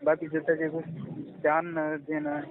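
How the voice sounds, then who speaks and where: A man's voice: on the high side, completely flat delivery, moderate pace, background noise. A man with a Marathi accent from Maharashtra talks casually on a phone call, repeating his instructions insistently. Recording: tinny, phone-like call recording.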